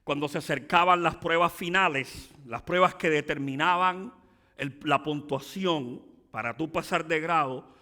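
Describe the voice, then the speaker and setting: Bright-voiced pastor preaching in a hall. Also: male voice, unhurried. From Cuba. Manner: preaching with rising emphatic peaks and dropping low asides. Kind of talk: bilingual sermon.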